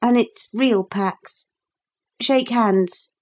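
A storybook schoolboy, played by a woman narrator, proud and standoffish, with a somewhat raised pitch. He talks in curt, brisk, proper phrases, impatient yet grudgingly noble. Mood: solemn.

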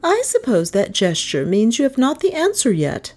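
A woman's voice: fairly high pitch, huge pitch swings, moderate pace, darkish tone, pitched above the performer's own voice. A woman reading a children's story as a stern female schoolteacher who is formal, clipped and reproachful. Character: stern, shaming schoolteacher woman, cool and exacting. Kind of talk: children's audiobook character voice.

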